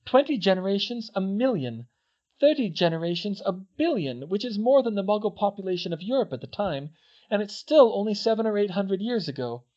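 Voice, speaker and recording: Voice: medium pitch, lively intonation, fairly quick, a little mellow, muffled recording, pitched above the performer's own voice, close to an everyday voice. A reader voicing an earnest, insistent young girl student who speaks emphatically. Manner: emphatic, didactic, stammers when upset.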